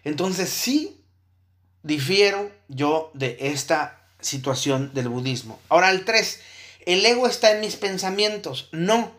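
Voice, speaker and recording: A man's voice: high-pitched, expressive, takes it slowly, fairly bright tone. A man giving an explanatory lecture on Buddhist ideas, often checking in with his listeners and thinking aloud as he goes. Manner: checks in with listeners with frequent tag questions.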